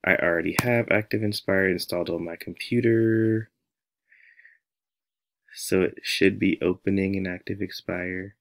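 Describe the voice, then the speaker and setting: A man's voice: deep voice, vocal fry. A man in his thirties with an American accent, giving a casual, playful tutorial as he thinks aloud. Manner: animated, playful, talks to himself. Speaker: music teacher and tutorial host.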